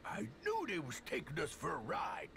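A man voicing a big, oafish cartoon cat henchman in a game, grumbling in a gravelly, folksy voice. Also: on the high side, huge pitch swings, moderate pace, noisy background, heavily acted. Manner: grumbling, folksy bad grammar. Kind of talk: cartoon video game voice acting.

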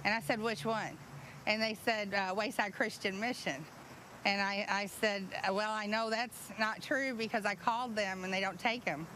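Indignant older woman, bright voice, street. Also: medium pitch, steady tone, normal speed, a little hiss or hum, rough recording. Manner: indignant, recounting conversations. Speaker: interviewee, patient's mother. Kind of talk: news interview. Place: outdoors on a city street.

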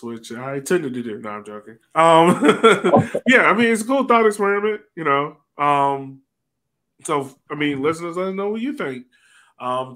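A man's voice: mid-range voice, a bit bright. A man hosting a casual sports podcast over a video call in an informal, joking way. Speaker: podcast host.

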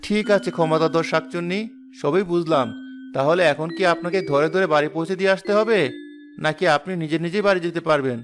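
High-voiced kind village boy played by a man. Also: fairly quick, soft background music, lightly acted. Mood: friendly, calm. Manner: gentle, reassuring. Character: kind, patient, helpful young village fisher boy. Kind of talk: animated cartoon dialogue.

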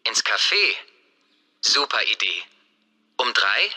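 A casual friend making plans, his voice bright and his pace very slow. Every word comes out deliberate and clear. A long reverb trails behind him. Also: lowish voice, big pitch swings, full character voice. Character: casual adult man chatting with a friend.

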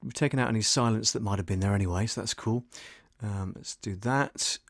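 A man's voice: fairly low pitch, a little fast, no echo, studio quality. A middle-aged British man hosting a casual screen-share tutorial, hesitant as he thinks aloud while working. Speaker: voice-over artist and audio producer hosting a livestream.

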